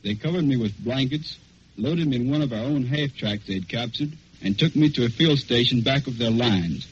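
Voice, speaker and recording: Young American male soldier, mid-pitched, reading personal testimony over a dull, slightly noisy 1940s radio broadcast. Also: normal speed. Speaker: wounded soldier recounting combat.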